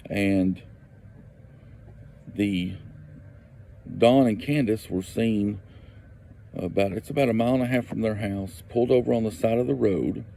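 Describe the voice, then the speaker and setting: A man telling a local story slowly and deliberately, repeating phrases for emphasis. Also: on the low side, gravelly, warm tone, a little hiss or hum. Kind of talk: storytelling on a podcast clip.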